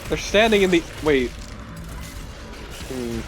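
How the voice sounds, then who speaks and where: A man's voice: on the high side, expressive, takes it slowly. A man chatting casually as a co-commentator on a video game, swearing now and then. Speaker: let's play co-commentator. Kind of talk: casual banter over gameplay. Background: game music.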